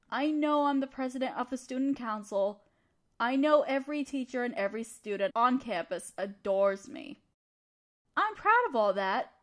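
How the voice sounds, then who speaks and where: A woman playing an awkward, flustered young student council president in a romantic audio roleplay, with a hesitant, earnest delivery. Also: sits high.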